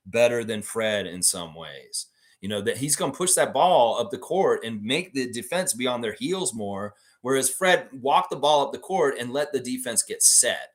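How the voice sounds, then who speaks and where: A man hosting a casual live stream about basketball, reading viewer comments aloud and reacting with laughs and sudden loud, high bursts. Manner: animated, laughs often, swings loud and high when joking. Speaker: sports podcast host reading live chat.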